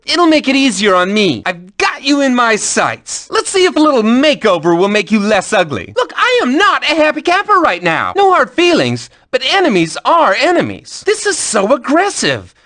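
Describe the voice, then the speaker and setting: Sarcastic theatrical sorceress, high voice, very lively delivery. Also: female voice, moderate pace, hardly any pauses. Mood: taunting. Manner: sarcastic, dramatic taunts. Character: snarky theatrical woman spellcaster. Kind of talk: game voice lines.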